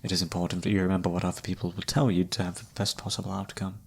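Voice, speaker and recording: A mysterious male palm reader played by a man speaks low and soft, with a gravelly edge. He is a flirty wanderer with courtly, formal wording, made for an ASMR roleplay. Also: flat delivery, racing, heavily acted. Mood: grave, gentle warning.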